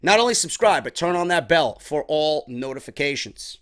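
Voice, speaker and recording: A young man from New York, chatty and slightly hoarse from a sore throat, reviewing a wrestling show in a casual vlog. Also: a little high, expressive, normal speed, a bit bright. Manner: chatty, keeps calling viewers "man".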